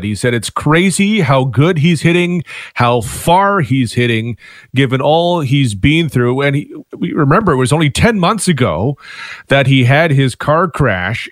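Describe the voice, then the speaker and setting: A man giving a radio sports report, explaining events in a measured, steady way into a studio microphone. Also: medium pitch, no room sound.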